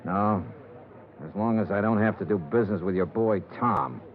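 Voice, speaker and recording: An actor playing a cool, defiant insurance investigator in a 1950s radio detective drama, terse, dry and wry. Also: male voice, fairly low pitch, moderate pace, lightly acted. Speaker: detective lead and narrator. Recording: 1950s radio broadcast, thin phone-like band.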